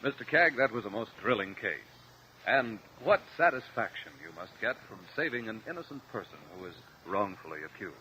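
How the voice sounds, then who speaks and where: A man's voice: lowish voice, expressive, fairly quick, background noise. A man with an American accent announcing in a polished, formal vintage radio style. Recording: dull old radio broadcast.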